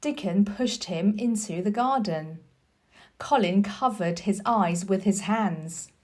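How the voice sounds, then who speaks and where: A woman storyteller reading a children's book aloud in a deliberate, expressive teacher's manner. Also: medium pitch. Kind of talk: scripted storybook narration.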